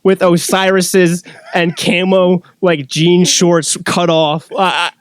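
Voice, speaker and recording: A man with a somewhat high voice tells stories as a podcast guest. He is conversational and informal, wandering through wordy sentences. His audio is dry and free of room sound. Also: flat delivery, takes it slowly, smooth, no room sound. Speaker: podcast guest and former band member. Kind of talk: casual conversational storytelling.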